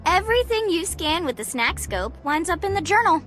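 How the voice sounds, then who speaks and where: A woman's voice: very high-pitched, very animated, fairly quick, over music. A woman voicing a snarky, gossipy teenage creature in a video game, with an exaggerated American valley-girl drawl. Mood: bossy. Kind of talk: cartoonish video game character acting.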